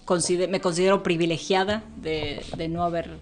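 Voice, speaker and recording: A woman speaking as a podcast guest in a relaxed, rambling way, full of fillers and restarts and quick to laugh. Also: on the low side. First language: Spanish, with English since early childhood. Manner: rambling, frequent fillers and self-interruptions, laughs easily. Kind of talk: casual conversational interview.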